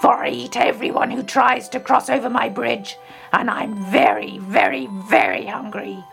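A woman voicing a hideous, hungry old troll in a children's story, with a gravelly, slow, threatening growl. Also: on the high side, steady tone, fairly bright tone, faint music behind. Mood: menacing, hungry. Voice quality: gravelly, creaky roar. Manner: slow, drawn-out threatening delivery.

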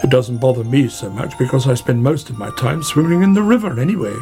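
A man's voice: mid-range voice, fast talker, dark tone, soft background music, natural voice. A man voicing a chatty, mildly fretful otter in a children's animal story.

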